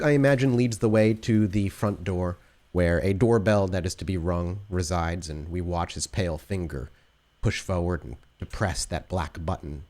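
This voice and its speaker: A man's voice: low voice, darkish tone. A man working as game master, improvising descriptive narration for a tabletop horror role-playing game.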